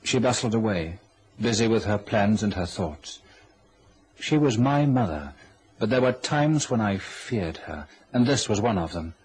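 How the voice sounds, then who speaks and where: A man's voice: lowish voice, lively intonation, measured pace, a little mellow, slightly rough, low-quality recording. A young man playing an anxious, obedient son cowed by his mother, hesitant and uneasy, in a radio drama. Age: young adult. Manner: hesitant, stammering protests. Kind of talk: radio drama acting and narration.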